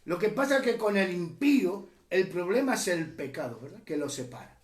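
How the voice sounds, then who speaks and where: A man's voice: high voice, expressive, normal speed, reverberant. A man from Uruguay preaching a teaching sermon, impassioned, rising and dropping sharply and often calling the listeners brothers. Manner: impassioned, rises and drops sharply, often says "hermanos".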